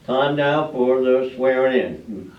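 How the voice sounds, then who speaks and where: A man playing a genial, folksy small-town official in an amateur staged reading, with halting homely speech. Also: medium pitch, lively intonation. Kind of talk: amateur readers theater stage acting. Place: live play in a public library room. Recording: echoey room, distant mic, dull sound.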